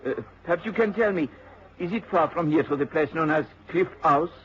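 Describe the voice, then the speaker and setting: An actor playing a reserved foreign gentleman in a radio drama, with a foreign accent and a hesitant, stiffly polite manner. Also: on the high side, brisk, slight background noise, natural voice. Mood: guarded, polite. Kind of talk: mid-century radio drama acting. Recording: dull old radio recording with background voices.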